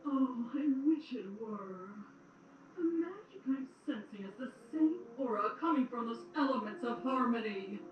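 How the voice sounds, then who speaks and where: A woman playing a cruel, menacing, imperious queen in an audio drama, theatrical and commanding with drawn-out words. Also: fairly high pitch, animated, dull audio, lightly acted. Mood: grave, troubled. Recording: roomy, distant playback with some noise.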